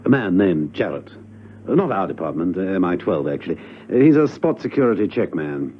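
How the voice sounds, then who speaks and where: An actor playing a suave, unflappable gentleman secret agent in a radio drama, urbane, understated and politely probing. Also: sits low, big pitch swings, a little fast, husky, full character voice. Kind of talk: spy radio drama acting. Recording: muffled old radio recording.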